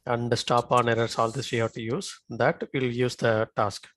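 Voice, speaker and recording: A man giving a live software tutorial as an instructor, often checking in with short tag questions. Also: medium pitch, level tone, normal speed.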